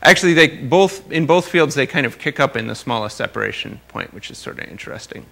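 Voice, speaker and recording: A man's voice: medium pitch, expressive, brisk, vocal fry, sharp tone. A man giving an informal research lecture, explaining technical material with careful hedges and wry, dry asides. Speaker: astronomer giving a research talk.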